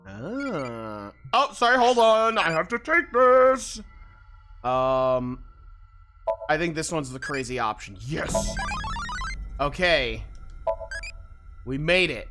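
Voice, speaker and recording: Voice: mid-range voice, slow pace, long pauses. A streamer giving casual, playful commentary on a video game, reading its text aloud and muttering along. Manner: animated, mutters to self, playful exclamations. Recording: game music behind the voice. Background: video game music.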